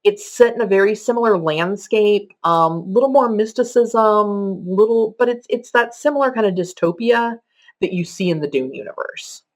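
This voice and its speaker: A woman with a mid-pitched voice presents as a podcaster. She explains things conversationally, working from loose notes in a countdown format. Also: normal speed. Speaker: podcaster giving book recommendations. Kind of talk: semi-scripted presentation, list countdown.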